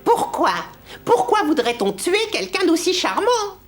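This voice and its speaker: A woman voicing a small, excitable, dramatic male dwarf in a cartoon. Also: very high voice, a little slow, heavily acted. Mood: dismayed.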